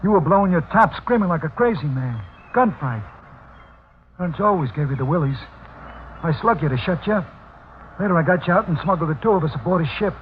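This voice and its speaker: A man's voice: on the high side, lively intonation, fairly quick, natural voice. An actor playing a tough, loyal gangster henchman with slangy talk in a 1940s radio crime drama. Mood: matter-of-fact. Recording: thin, phone-like old radio recording, faint noise.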